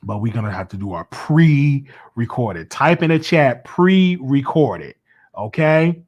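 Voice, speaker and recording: A man hosting a casual live call-in show, chatty and animated, his voice worn from talking. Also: slow pace, lo-fi sound. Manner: animated, pitch jumps high when excited. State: losing his voice. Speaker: host.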